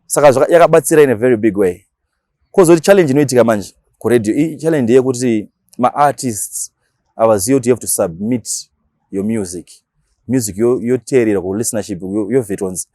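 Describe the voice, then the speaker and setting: Very fast-talking Zimbabwean man, slangy and animated. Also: mid-range voice, no room sound, clean studio sound. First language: Shona. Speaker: interview guest, dancehall DJ. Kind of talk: casual interview talk mixing Shona and English.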